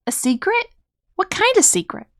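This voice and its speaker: A woman's voice: very high voice, sing-song, normal speed, fairly bright tone, voice pushed up, heavily acted. A woman voicing a young raccoon boy in a children's storybook, childlike, pleading and full of questions. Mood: curious. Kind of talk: children's storybook character reading.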